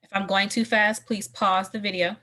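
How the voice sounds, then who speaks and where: A woman speaks as a school teacher with a mid-range voice. She delivers lesson directions deliberately, one step at a time, repeating key questions and instructions. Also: medium pitch, level tone, pushed and bright.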